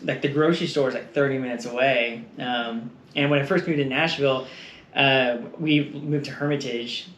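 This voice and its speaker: A man's voice: mid-range voice, fairly even intonation, normal speed, fairly bright tone. A man from Texas, a guest in a casual interview, telling stories in a rambling way with many fillers and frequent laughs. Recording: echoey room with faint noise.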